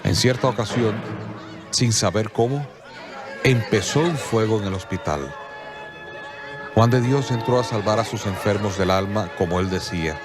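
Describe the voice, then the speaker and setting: Low-voiced male radio drama narrator. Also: crowd noise. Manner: measured, storytelling. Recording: soft violin music behind.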